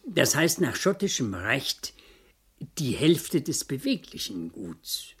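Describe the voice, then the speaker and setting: An actor playing an elderly, pedantic Scottish solicitor in a radio play, speaking slowly and precisely with dry hesitations and a husky voice. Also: male voice, medium pitch, very animated, a bit bright, heavily acted. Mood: dry, explanatory. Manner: slow, precise, hesitant murmurs. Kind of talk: German radio play acting.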